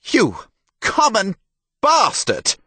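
A man's voice: sits high, sing-song, a little slow, no room sound, pitched above the performer's own voice, exaggerated voice. A man voicing a pompous, scornful young castle herald in an audiobook, easily offended and full of his own rank. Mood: indignant.

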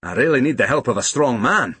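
A man's voice: medium pitch, lively intonation, a little fast, sharp tone, husky, no room sound, full character voice. A man voicing a practical old gardener, fretting over a problem in a storybook reading. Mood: worried.

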